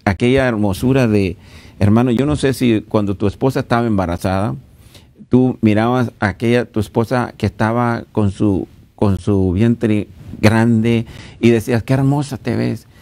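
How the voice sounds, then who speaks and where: A man's voice: lowish voice, moderate pace, a little mellow. A middle-aged man with a Central American accent preaching conversationally as a pastor, earnest and speaking directly to his listeners. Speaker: pastor teaching at a marriage retreat.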